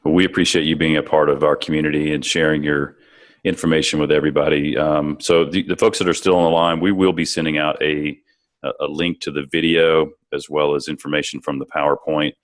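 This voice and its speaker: A man's voice: rumbling low, level tone, brisk. A man hosting a webinar, upbeat and reading out audience questions to his guest. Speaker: webinar host, moderator. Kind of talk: moderating a webinar Q&A.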